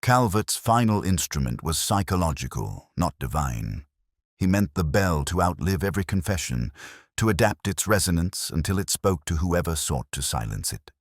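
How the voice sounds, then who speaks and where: A brilliant detective acted by a male narrator speaks in a very deep, dry-sounding voice. He is grave and coolly analytical, delivering deductions in deliberate, theatrical phrases with wide swings of pitch. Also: very animated, dry recording. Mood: resolute.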